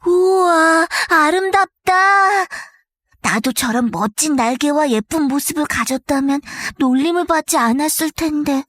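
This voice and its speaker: A timid little ugly duckling. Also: male voice, unhurried, fairly bright tone. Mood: wistful. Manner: high, sweet childlike storybook voice. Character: small lonely ugly duckling, timid and gentle. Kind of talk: children's storybook reading.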